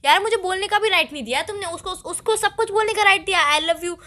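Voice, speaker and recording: A woman's voice: very high-pitched, brisk, bright, forceful tone. A young woman playing a jealous, indignant girlfriend, sarcastic and scolding as she argues with her partner. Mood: sarcastic, hurt. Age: young adult.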